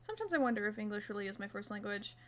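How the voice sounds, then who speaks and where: A woman's voice: mid-range voice, animated, very fast, fairly bright tone. A young woman streaming live, chatting casually and self-mockingly while she crochets, swearing now and then.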